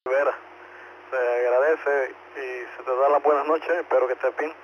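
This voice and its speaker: A man's voice: medium pitch, steady tone, normal speed. A courteous man with a Puerto Rican accent running a check-in net as controller over two-way radio. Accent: Puerto Rican Spanish. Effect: two-way radio transmission. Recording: muffled ham radio transmission with clear static.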